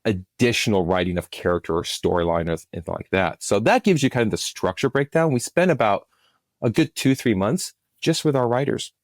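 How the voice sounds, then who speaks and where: A man's voice: sits low, no echo, clean studio sound. A man speaking as a producer and guest in an interview, explaining conversationally and often restarting his phrases.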